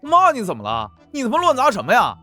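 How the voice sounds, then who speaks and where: A man playing a brash, whiny young college student in an audio drama, complaining in loud exclamations. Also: very high-pitched, huge pitch swings, fast, soft background music, full character voice. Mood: angry, whiny. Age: young adult.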